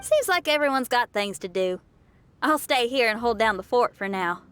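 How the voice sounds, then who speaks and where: A woman's voice: on the high side, lively intonation, normal speed, fairly bright tone, faint music behind. A woman voicing an easygoing, dependable young adventurer in a video game, casual and breezy.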